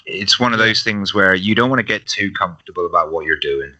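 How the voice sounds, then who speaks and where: A man's voice: sits low, fairly quick, sharp tone. A man in a casual live-stream chat, explaining things thoughtfully with some hesitations.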